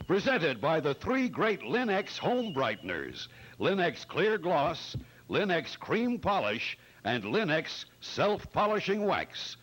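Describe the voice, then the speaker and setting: A man announcing in old-time radio style, with a theatrical, emphatic sponsor-pitch delivery. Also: a bit bright, a little hiss or hum, low-quality recording.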